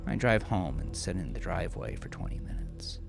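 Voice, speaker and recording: A man narrating a horror story in the first person, with a brooding, halting, intense confessional delivery. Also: low-pitched, huge pitch swings, vocal fry, over music. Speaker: narrator and author.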